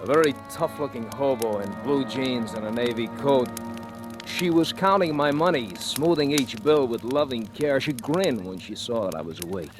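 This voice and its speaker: An actor playing a desperate fugitive thief in a 1940s radio thriller, with an urgent, haunted delivery. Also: male voice, medium pitch, animated, normal speed, lightly acted. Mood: tense, bitter narration. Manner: stammering, pleading, urgent. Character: desperate handcuffed fugitive thief, male, frantic and scheming. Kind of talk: 1940s radio thriller acting, narrating and in dialogue. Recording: old radio recording with music.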